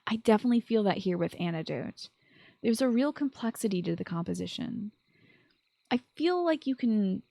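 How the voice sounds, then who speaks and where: A woman's voice: mid-range voice, vocal fry, darkish tone, dry recording. Enthusiastic woman podcaster, close dry sound. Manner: informal, enthusiastic. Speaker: podcast host. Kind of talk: chatty video game podcast commentary, partly scripted.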